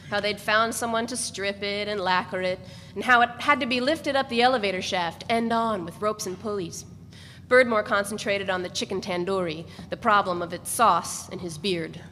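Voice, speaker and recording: A woman's voice: mid-range voice, a bit bright. A woman giving a dramatic reading of a novel as a first-person narrator. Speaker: reader of a novel. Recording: echoey hall, faint background noise.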